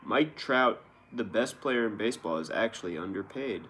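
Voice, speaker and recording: A man's voice: fairly low pitch, animated, normal speed, bright, forceful tone, slight background noise. A man reading the news aloud and rambling casually about it as a show host, with sarcastic, feigned astonishment.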